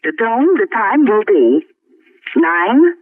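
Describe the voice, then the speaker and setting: A woman announcing the time as a recorded speaking clock, in a measured, formulaic delivery over a telephone line. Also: medium pitch, big pitch swings, no echo. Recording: thin, phone-like time-signal tape.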